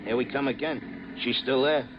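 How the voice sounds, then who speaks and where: A man's voice: mid-range voice, normal speed, lightly acted. A man playing a chatty, unfazed cab driver in a 1950s radio drama, slangy and matter-of-fact. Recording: muffled, hissy old radio broadcast.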